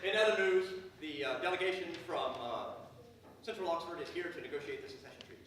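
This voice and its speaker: A man's voice: a little high, quick pace, a bit bright, lightly acted. An amateur actor, a man, playing an obedient official messenger who announces formally and theatrically in a pantomime. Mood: officious. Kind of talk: amateur pantomime stage acting. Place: indoor stage in a large hall. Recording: very echoey, noisy hall from far off.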